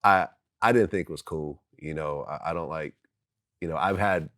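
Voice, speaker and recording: A man working as an interviewer, asking questions in a casual, plain-spoken and somewhat halting way. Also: very deep voice, a bit bright, no echo, studio quality.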